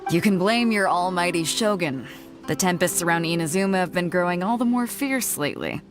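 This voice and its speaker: A woman voices a bold ship captain with a lowish, commanding voice. She sounds confident and unhurried. Faint music plays under the game dialogue. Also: animated, natural voice. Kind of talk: video game voice acting.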